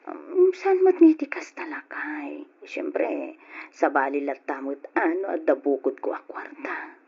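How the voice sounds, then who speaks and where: A woman's voice: low voice, wildly swooping pitch, a little slow, husky, heavily acted. A woman playing a pleading, determined young Filipino wife. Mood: resentful, hurt. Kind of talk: old-fashioned Ilocano radio drama acting. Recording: dull lo-fi old radio drama.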